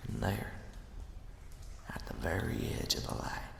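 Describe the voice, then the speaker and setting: A man narrating a ghost story as ASMR in a hushed, slow, dramatic whisper with a breathy, gravelly edge. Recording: echoey, long cavernous reverb.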